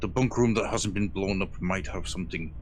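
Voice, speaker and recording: A tabletop player with one of the lowest voices around, talking dryly and playfully. He plans his character's moves, and his banter has a wry edge to it. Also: brisk, a little hiss or hum. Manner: dry, playful, chuckling. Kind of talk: casual tabletop role-play.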